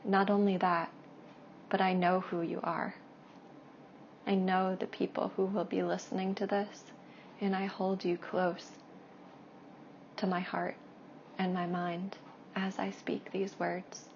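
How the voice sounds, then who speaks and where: A woman speaks as a meditation guide at a slow, unhurried pace. Her pitch stays even, and her soft phrases trail toward a whisper between long pauses. The recording is a little dull, with faint noise behind her. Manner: hushed, soothing, drawn-out phrases with long pauses. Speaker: meditation guide and Reiki practitioner.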